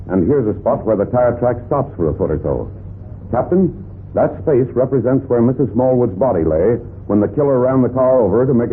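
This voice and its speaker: An actor playing a keen, plain-spoken police officer, the captain's observant sidekick in an old-time radio crime drama. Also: male voice, medium pitch, natural voice. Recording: tinny, noisy vintage radio recording.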